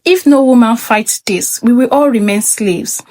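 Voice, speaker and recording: A woman's voice: a little high, measured pace, darkish tone, natural voice. A woman voicing an earnest, insistent teenage girl with big dreams in a narrated folktale. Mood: defiant.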